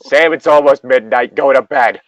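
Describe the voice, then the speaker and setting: A man's voice: mid-range voice, normal speed, no room sound, muffled recording, pitched above the performer's own voice, natural voice. A man voicing an exasperated, no-nonsense male trip leader with a firm, scolding manner in a story reading.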